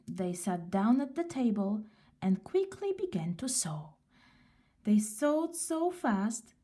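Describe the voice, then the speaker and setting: A woman reading a children's story aloud in an unhurried, expressive way. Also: mid-range voice.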